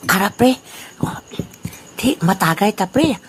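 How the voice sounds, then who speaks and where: A woman's voice: medium pitch, expressive, unhurried, slight background noise, lightly acted. A woman voicing a scheming old man, a drinking buddy who chuckles and laughs often, in an amateur radio play. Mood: friendly, sly. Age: over 60.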